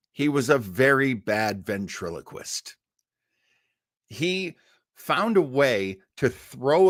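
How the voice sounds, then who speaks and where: A man hosting a livestream in a casual, rambling, halting way, telling stories and asides with an amused manner. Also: mid-range voice, fairly bright tone, no echo, studio quality. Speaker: livestream show host. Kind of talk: casual livestream chat, storytelling.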